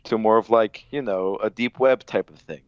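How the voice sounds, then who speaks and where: A man with a fairly low voice talks casually on a podcast panel. His audio is dull and lo-fi, with a dry sound and little room. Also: moderate pace, clear, resonant tone, no echo. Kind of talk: casual group chat.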